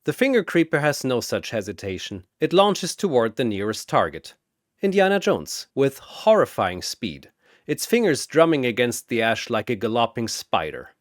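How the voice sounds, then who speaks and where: A man narrating a fantasy battle in a bombastic, theatrical style with drawn-out emphasis, like an arena announcer. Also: medium pitch, big pitch swings, no room sound, studio quality.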